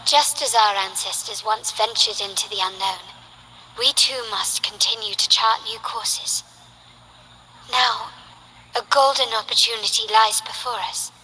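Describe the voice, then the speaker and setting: An ambitious young heiress of a noble house in a video game. Her voice is on the high side and strikingly bright. She speaks with measured elegance and composure, over faint background noise. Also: poor audio. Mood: proud, determined. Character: poised, ambitious young noblewoman heir of an old family. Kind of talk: scripted video game character voice lines.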